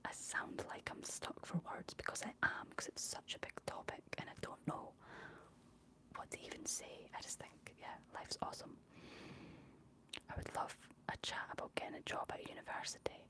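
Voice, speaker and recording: A Scottish woman speaking in a soft, breathy whisper, chatting unhurriedly as a livestream host in an ASMR style. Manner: unhurried, reading out and answering viewer comments.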